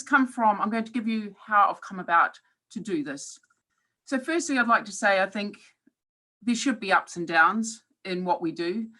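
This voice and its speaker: A woman's voice: mid-range voice, pushed and bright. A woman in her forties with a New Zealand accent, giving a reflective webinar talk as a professor, with occasional hesitant fillers. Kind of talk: presentation talk.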